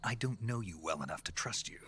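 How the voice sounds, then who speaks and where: A wary, terse soldier in a video game. His voice is very deep and hushed, almost breathy, with clear ambient noise around it. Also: expressive, quick pace. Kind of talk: video game cutscene voice acting.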